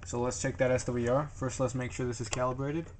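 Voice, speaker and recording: A man's voice: mid-range voice, little pitch movement, brisk. A man narrating an informal hands-on tutorial in a plain-spoken, slightly hesitant, self-deprecating way. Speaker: DIY tutorial presenter. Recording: noisy amateur camera audio.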